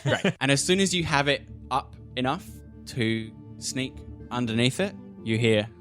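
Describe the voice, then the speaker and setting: A man's voice: medium pitch, lively intonation, a little slow, fairly bright tone, soft background music. Casual man running a tabletop game. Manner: casual, jokey. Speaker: game master. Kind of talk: improvised tabletop game narration.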